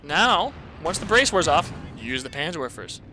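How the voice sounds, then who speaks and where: A man casually commentating a strategy game replay, excitable and laughing often, talking to his chat, his voice a bit hoarse. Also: a little high, normal speed, noisy background. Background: video game gunfire and artillery.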